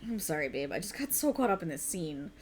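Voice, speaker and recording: Woman acting animated, rambling writer girlfriend. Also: on the low side, fairly quick, slight background noise. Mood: sheepish, weary. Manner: earnest, rambling, playfully defensive. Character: tired, rambling, passionate young woman writer, teasing girlfriend. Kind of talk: ASMR girlfriend roleplay, intimate conversational acting.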